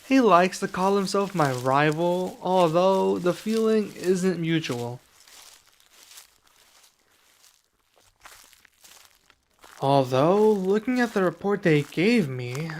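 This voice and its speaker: A young man playing a serious, guarded dragon adventurer in an ASMR roleplay, speaking softly and slowly with pauses. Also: sits high, a little hiss or hum. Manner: soft-spoken, measured, with pauses.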